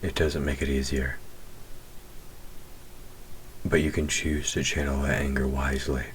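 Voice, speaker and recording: A man playing a wry demon hunter who is himself a demon, teasing yet protective, speaking intimately and with measured sarcasm in an audio roleplay. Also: extremely low pitch, level tone, a little hiss or hum, rough recording. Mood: gentle, firm. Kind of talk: scripted audio roleplay spoken to the listener.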